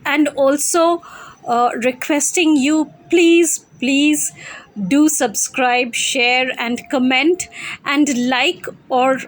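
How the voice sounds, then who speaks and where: A woman storyteller speaking in a deliberate, expressive way with careful phrasing, as an amateur reader addressing listeners. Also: high voice. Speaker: amateur storyteller and channel host. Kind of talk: dramatised story reading.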